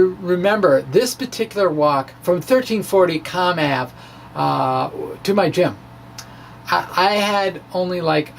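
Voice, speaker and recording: A man's voice: on the high side, a little hiss or hum. A man telling his own science story from a blog in a conversational, hesitant way, with wry, self-deprecating humor. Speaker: amateur physicist and blogger. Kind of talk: dramatic reading of a personal science blog.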